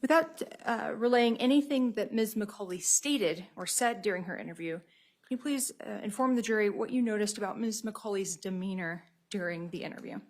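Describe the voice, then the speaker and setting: An American woman examines a witness in court as a prosecuting attorney. Her voice sits in a middle register. She phrases her questions formally, in a measured and procedural way. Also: medium pitch, darkish tone.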